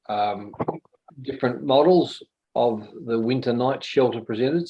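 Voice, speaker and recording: Australian male host, mid-pitch, hesitant, over a dull online line. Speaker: workshop host. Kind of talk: online meeting introduction. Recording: dull lo-fi video call audio.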